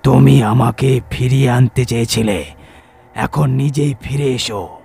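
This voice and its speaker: A man voicing a menacing ancient spirit of a dead occultist in a horror audio story, with slow, ominous, drawn-out speech. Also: mid-range voice, fairly even intonation, creaky, mellow, husky, full character voice. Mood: threatening.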